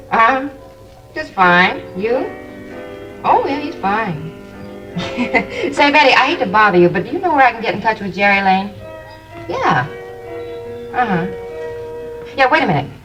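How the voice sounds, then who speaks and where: An actress playing a blunt, chatty waitress in a 1950s radio crime drama, with brassy, streetwise talk. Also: female voice, on the high side, big pitch swings, unhurried, long pauses, music in the background, low-quality recording.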